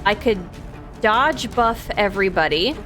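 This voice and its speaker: A woman's voice: medium pitch, animated, unhurried, fairly bright tone, faint music behind. A woman with a Minnesota accent, streaming a game and chatting casually in a rambling way, laughing easily. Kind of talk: casual chat while playing.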